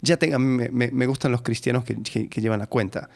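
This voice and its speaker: A man in a casual conversation on a church talk show. He speaks at an ordinary middle pitch, often hesitating and restarting, and he slips in dry, wry remarks. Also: steady tone, fairly quick, gravelly, a little mellow. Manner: hesitant, many fillers and restarts, wry humor. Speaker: co-host.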